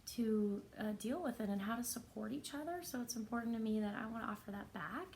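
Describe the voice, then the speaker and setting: A woman with a somewhat high but soft and raspy voice talks straight to camera in a personal vlog. She is earnest and reflective, pausing often. A faint hum of ambience sits behind her. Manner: earnest, reflective, unhurried with pauses. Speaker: vlogger.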